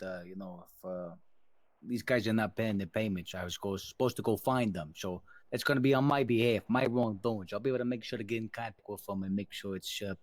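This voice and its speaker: A man plays a deferential mobster in a game roleplay, placating and careful with pauses between phrases. The voice is fairly low, recorded close and dry. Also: dry recording, close to an everyday voice. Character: deferential, reassuring mafia underling taking the blame. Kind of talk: improvised online crime game roleplay.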